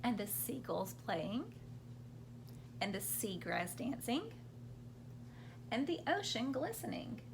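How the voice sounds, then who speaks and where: A woman's voice: on the high side, sing-song, takes it slowly, gravelly, long pauses, background noise. A woman hosting a children's storytime, reading picture books aloud expressively and explaining things simply for young listeners.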